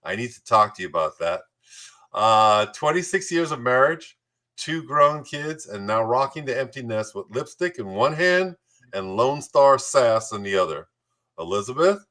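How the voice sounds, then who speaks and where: Male host, forceful bright delivery, mid-pitched, playful between scripted guest introductions. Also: very animated, normal speed. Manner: jokey, playful. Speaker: talk show host. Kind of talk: hosting a community talk show, part scripted introductions, part banter.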